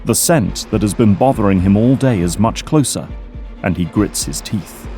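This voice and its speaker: A man's voice: fairly low pitch, lively intonation, normal speed, mellow, faint music behind. A man reading scripted, dramatic third-person narration for an audio drama as its narrator.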